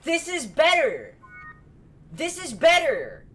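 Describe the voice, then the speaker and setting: A person giving casual gameplay commentary in a halting way with false starts, in a room at home. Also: high-pitched, wildly swooping pitch, fairly bright tone. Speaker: streamer demonstrating a game.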